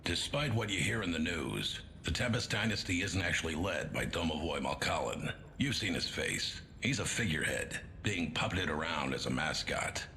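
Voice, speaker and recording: A gruff video game colonel with a low, gravelly voice. He is blunt, wary and commanding, with forceful delivery over some rumbling noise. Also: expressive, normal speed, exaggerated voice. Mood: wary, stern. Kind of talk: scripted video game briefing.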